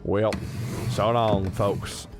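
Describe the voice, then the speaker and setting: A man's voice: lowish voice, fairly even intonation, drawn-out words, music in the background. Cranky old professor, acted by a man, folksy grumble. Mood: wistful. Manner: grumbling, folksy, self-deprecating. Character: cranky, rueful old male professor, plain-spoken. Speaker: student radio drama actor. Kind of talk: comedic radio drama acting.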